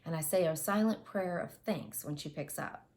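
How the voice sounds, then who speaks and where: A woman's voice: low-pitched, moderate pace, a little mellow. A woman in her forties reading a first-person novel aloud, recorded on earbuds in a home office. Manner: reads aloud with character voices and retakes. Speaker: audiobook reader. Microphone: wireless earbuds.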